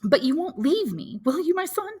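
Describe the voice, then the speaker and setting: Woman reading as sorrowful mother, raised pitch. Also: very animated, moderate pace, a little mellow. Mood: pleading. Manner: sighing, murmuring, emotional. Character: sorrowful, tender mother of a grown son. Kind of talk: audiobook character dialogue.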